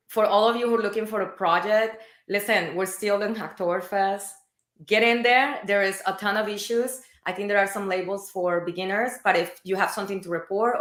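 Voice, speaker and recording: A woman's voice: mid-range voice, fairly bright tone. A woman hosting a livestream, upbeat and enthusiastic, talking straight to viewers. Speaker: livestream host. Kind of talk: casual livestream interview. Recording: echoey room.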